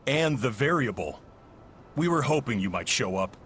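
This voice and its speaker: An actor voicing a cool, calculating male villain leader in a video game, calm and commanding. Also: medium pitch, moderate pace, a little hiss or hum, close to an everyday voice. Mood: cold.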